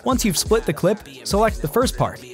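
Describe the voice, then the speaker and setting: A man's voice: high voice, animated, normal speed. A man reading scripted step-by-step instructions as the narrator of a tutorial. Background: background music.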